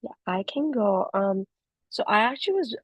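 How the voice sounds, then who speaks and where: A woman's voice: fairly high pitch, big pitch swings, moderate pace, husky, dry recording, muffled recording. A young woman who grew up in the Philippines, talking loosely as a panelist, with lots of fillers and restarts. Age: young adult. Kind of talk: casual panel talk.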